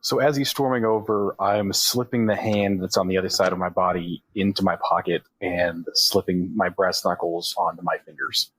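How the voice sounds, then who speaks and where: A man's voice: low-pitched, fairly even intonation, normal speed, hardly any pauses, a bit bright, slightly rough, no echo, low-quality recording. A man chatting casually as a player in a tabletop role-playing game, describing his moves. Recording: music behind the voice. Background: music.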